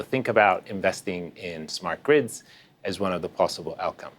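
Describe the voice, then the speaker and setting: A man hosting a podcast interview, explaining in a measured way with frequent pauses and restarts. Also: low voice. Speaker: podcast host.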